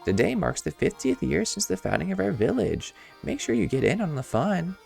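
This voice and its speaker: A man voicing a chatty, evasive male villager from a game, in a high, hesitant sing-song voice. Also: huge pitch swings, normal speed, gravelly, darkish tone, soft background music, heavily acted. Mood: flustered.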